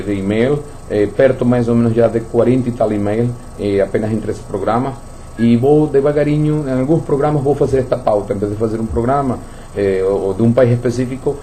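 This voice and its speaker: A radio talk host, a man whose Portuguese carries Spanish slips from growing up in Venezuela. His voice is deep-toned and very mellow, with a faint hum behind it. He talks in loose, repetitive runs, restarting phrases as he thinks aloud. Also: rough recording. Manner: repeats words, thinks aloud with many restarts.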